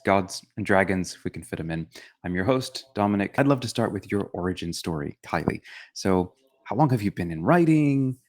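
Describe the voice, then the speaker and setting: A man's voice: on the low side, a little mellow. A man hosting a podcast, introducing and interviewing in a conversational style. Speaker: podcast host.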